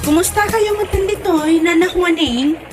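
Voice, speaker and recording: High-voiced chatty neighbor played by a woman. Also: normal speed, over loud music. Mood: chatty, concerned. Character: chatty, friendly female neighbor in village comedy. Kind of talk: amateur comedy audio drama acting.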